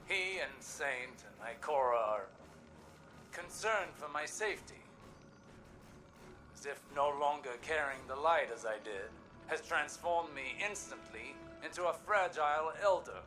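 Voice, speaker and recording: A prickly, proud older scholar from a video game. His medium-pitched voice is bright and tense, and his speech is dry, formal and precise. Faint music sits behind. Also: expressive, a little slow. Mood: irritated, dry.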